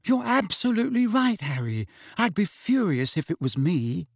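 A man's voice: on the high side, very animated, a little mellow, husky, no room sound, studio quality, pitched above the performer's own voice. A man voicing an anxious, clever teenage girl who apologizes and explains in a hurry. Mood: pleading. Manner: apologetic, hurried.

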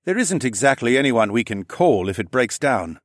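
A man's voice: medium pitch, sing-song, normal speed, no room sound, studio quality, voice pushed up, natural voice. A man voicing a nervous, exhausted French engineer in his thirties, hesitant and stammering, in an audiobook story. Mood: resigned.

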